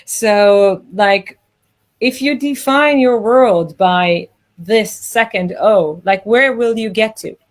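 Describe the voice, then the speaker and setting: A woman's voice: medium pitch, takes it slowly. Chatty woman host from Israel. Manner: chatty, animated, laughs easily. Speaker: podcast host. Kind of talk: casual interview chat.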